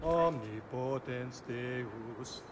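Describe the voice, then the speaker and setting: A man voicing a devout, misled priest in a video game, prayerful and halting. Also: mid-range voice, over music. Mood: devout, anguished. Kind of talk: dramatic video game acting.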